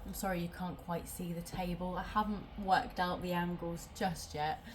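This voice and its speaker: A woman's voice: on the low side, steady tone, moderate pace, a little hiss or hum. A woman doing a casual tarot reading at home, sounding nasal from a cold and rambling with many ums. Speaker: tarot reader.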